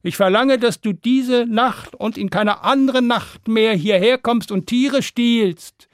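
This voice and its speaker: A man's voice: extremely high pitch, unhurried, no room sound, clean studio sound, voice pushed up. A man playing a crafty peasant who bluffs boldly in a fairy tale, firm and challenging. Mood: stern, demanding.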